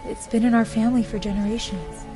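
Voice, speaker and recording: An actress playing a gentle, determined young spirit guide in an animated video game, speaking softly and sincerely. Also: female voice, on the high side, fast, over music, natural voice. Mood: wistful.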